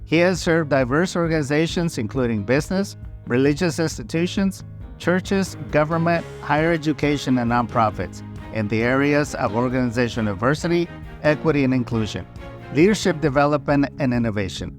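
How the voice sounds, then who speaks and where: A male podcast host reads a friendly introduction and asks his guest questions, now and then switching into Spanish. Faint music sits low behind his voice. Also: brisk. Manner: friendly, drops in Spanish greetings and questions, some "uh" fillers. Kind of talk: podcast hosting and interviewing.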